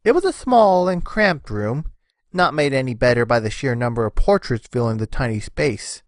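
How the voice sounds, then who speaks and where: A man narrates a story from a script in a lively, widely varying voice. The sound is close and dry, with hardly any room to it. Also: mid-range voice, normal speed, no echo.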